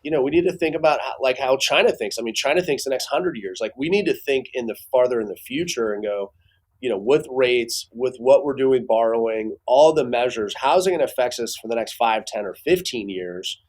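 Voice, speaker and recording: Male business guest, mid-pitched, conversational and halting, explaining his opinions in an interview. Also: lively intonation, darkish tone, low-quality recording. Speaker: business owner giving expert commentary as guest. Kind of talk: casual interview commentary.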